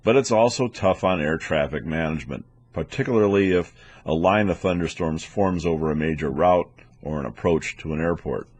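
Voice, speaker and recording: A man's voice: rumbling low, normal speed, darkish tone, husky, no room sound. A man reading scripted training narration as an instructor, in a methodical, explanatory manner. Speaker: weather instructor for air traffic controllers.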